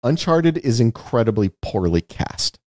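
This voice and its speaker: A man's voice: mid-range voice, sing-song, measured pace, darkish tone, dry recording, clean studio sound. A man hosting a casual film discussion podcast, giving opinions with wry, sarcastic asides. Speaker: podcast host.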